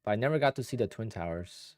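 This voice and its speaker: A man with a low, easygoing voice talks loosely to his live viewers, starting over and wandering as he thinks out loud. He is from Poland and in his thirties. The sound is close and dry, with no room around it. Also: fast, no echo. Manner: relaxed, rambling, thinks aloud with restarts. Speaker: day trader streaming live. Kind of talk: casual livestream chat answering viewers.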